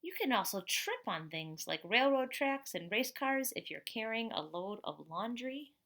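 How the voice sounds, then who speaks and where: A middle-aged woman reading a picture book aloud like a teacher at storytime, expressive, with chatty asides and questions to young listeners. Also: on the high side, moderate pace.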